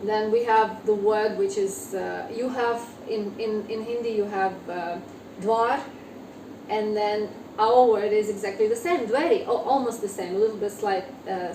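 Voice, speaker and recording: A woman's voice: mid-range voice, moderate pace. A woman from Ukraine, a native Ukrainian speaker, answering as a guest author in an interview, hesitant with many fillers. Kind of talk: interview conversation. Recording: echoey room, distant mic, noisy.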